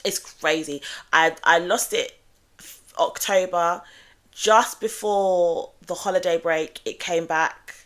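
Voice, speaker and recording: A woman hosting a true crime show, talking in a measured, analytical way with small restarts, her voice still slightly groggy after being ill. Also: on the low side, a bit bright. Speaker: true crime channel host. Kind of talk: true crime explainer monologue.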